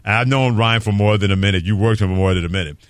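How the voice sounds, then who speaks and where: A man hosting a lively sports radio show, bantering emphatically and repeating words for effect. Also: on the low side, rapid-fire, clear, resonant tone, dry recording. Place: dry radio studio.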